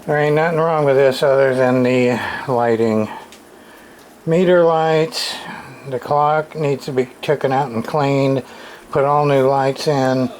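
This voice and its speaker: A man giving a casual hands-on repair demonstration, muttering to himself in halting short phrases with plain, folksy, mildly salty wording. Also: mid-range voice, steady tone, a little mellow. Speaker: radio repairer, channel host. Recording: clearly noisy room recording, background ambience.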